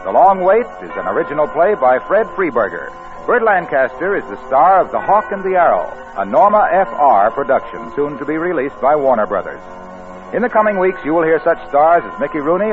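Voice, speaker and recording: A man announcing in scripted 1940s radio style, delivering sponsor pitches and credits with a husky voice. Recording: very muffled old radio broadcast.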